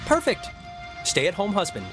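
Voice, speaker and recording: High-voiced, goofy teenage boy. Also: a little slow, over music, exaggerated voice. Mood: playful. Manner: playful, jokey, excitable. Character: chatty, goofy, eager teenage boy, cheeky joker. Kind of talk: naturalistic video game voice acting.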